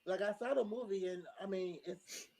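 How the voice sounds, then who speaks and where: A man casually giving his opinions in a panel discussion, often halting as he searches for words. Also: sits high, gravelly, mellow.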